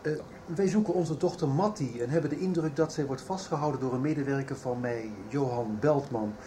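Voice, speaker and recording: An actor playing a worried middle-aged businessman and father in a radio soap, authoritative yet halting. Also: mid-range voice, lightly acted. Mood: worried, formal. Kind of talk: 1990s Dutch radio soap acting. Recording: faint background noise.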